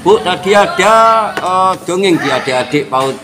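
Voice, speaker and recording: A man's voice: sits high, moderate pace, over music. A man asking short questions as an interviewer in a casual on-site interview.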